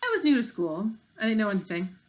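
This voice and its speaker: A woman's voice: medium pitch, expressive, fast, close to an everyday voice, poor audio. Cocky fifth grade boy, read by a woman, offhand, kinda defiant, storybook character. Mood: defensive, offhand.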